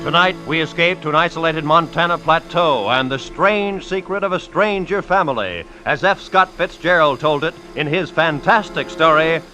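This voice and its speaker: A man's voice: fairly high pitch, normal speed, hardly any pauses, close to an everyday voice, poor audio. A man announcing a 1940s radio drama in a formal, dramatic style. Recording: vintage radio recording, loud organ music.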